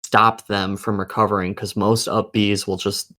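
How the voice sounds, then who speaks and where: A man's voice: fairly low pitch, level tone, normal speed, bright, forceful tone. A man co-hosting a casual gaming podcast, talking bluntly and cursing heavily.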